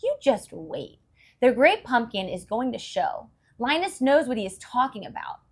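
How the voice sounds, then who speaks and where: A woman puts on an indignant little girl from a storybook. She is loyal and stubborn, and her high, lively voice insists on every word. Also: moderate pace, breathy edge.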